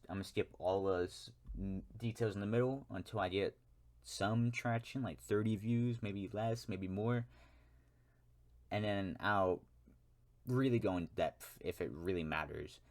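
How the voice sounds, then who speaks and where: Deep-voiced young American man ranting. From United States. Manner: rambling, self-correcting, wry asides. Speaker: YouTuber. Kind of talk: ranting solo monologue.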